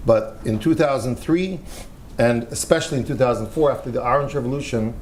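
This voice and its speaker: An older man with an American accent talks on a panel discussion, earnest and emphatic, often repeating a phrase to drive it home. His voice sits at an ordinary middle pitch. A faint hiss runs under the recording. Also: fairly quick, a little mellow. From United States.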